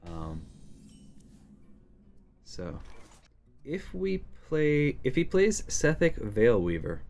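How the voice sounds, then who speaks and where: A man giving casual strategy commentary on a card game, thinking out loud in musing asides. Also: medium pitch, very animated, very slow, vocal fry. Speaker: game streamer. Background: game music.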